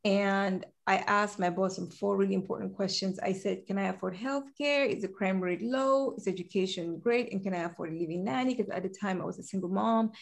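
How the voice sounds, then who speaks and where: A woman in her forties from Cameroon, a guest in an interview, telling her story in an animated way and laughing easily. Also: mid-range voice, a little fast, vocal fry, few pauses. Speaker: guest, compliance director. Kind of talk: conversational interview.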